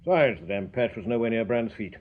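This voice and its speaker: An actor playing a defensive, middle-aged Victorian doctor of science in a radio drama, English, terse and precise. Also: male voice, medium pitch, animated, lightly acted. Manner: terse, brisk, dropping small words. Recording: slightly dull radio recording.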